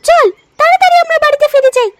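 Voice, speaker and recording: A woman's voice: very high-pitched, rapid-fire. A woman voicing a playful, mischievous young crow chick in a cartoon, childlike and eager. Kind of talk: cartoon story voice acting.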